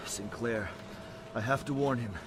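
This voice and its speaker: An actor playing a gruff, sceptical soldier in a video game, with a terse, questioning manner. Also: male voice, medium pitch, a little slow, background noise, close to an everyday voice. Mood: dazed. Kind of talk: dramatic video game acting. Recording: loud music behind the voice.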